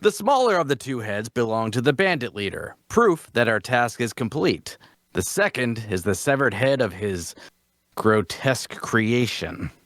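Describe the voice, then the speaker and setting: A man's voice: medium pitch, sing-song, measured pace, fairly bright tone, no room sound. The puffed-up hero holding forth in a fantasy audio drama, swooping through his grand tale in dry, close sound. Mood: pompous. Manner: grandiose, theatrical storytelling. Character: self-important male adventurer spinning a heroic tale. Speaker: actor at a table read. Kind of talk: dramatic fantasy dialogue reading.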